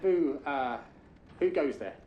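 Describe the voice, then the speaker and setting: Casting: a flustered, stammering male shopkeeper for a game, highish lively voice, echoey room. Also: slow, dull audio. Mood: startled. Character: nervous, evasive male bookshop keeper dodging questions. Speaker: bookshop keeper. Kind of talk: video game cutscene acting. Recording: roomy echo with faint noise.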